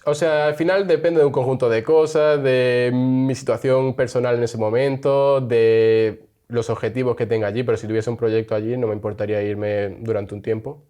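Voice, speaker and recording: A man's voice: medium pitch, fairly even intonation, moderate pace, mellow. A young man from Spain, chatting casually as an interview guest and laughing often. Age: young adult.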